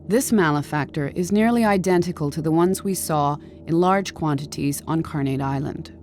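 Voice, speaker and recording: A detached female archivist reads out creature files in a measured, clinical tone, voiced by a woman. Her voice sits fairly low. Soft game music plays beneath her. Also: close to an everyday voice. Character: calm female archivist briefing on monsters. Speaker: game bestiary narrator. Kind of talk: scripted documentary narration.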